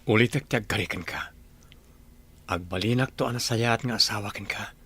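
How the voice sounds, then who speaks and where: A man's voice: fairly low pitch, moderate pace, pushed and bright, slightly rough, heavily acted. A man playing a devoted, earnest new husband in an Ilocano radio drama. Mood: tender, earnest.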